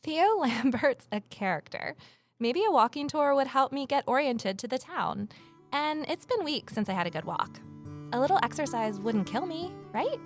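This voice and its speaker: A woman's voice: high voice, big pitch swings, normal speed, soft background music. An actress playing a wry, curious office worker who narrates her own story in an audio drama. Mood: wry narrating. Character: wry, curious female former journalist, new office assistant. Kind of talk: audio drama, first-person narration and dialogue.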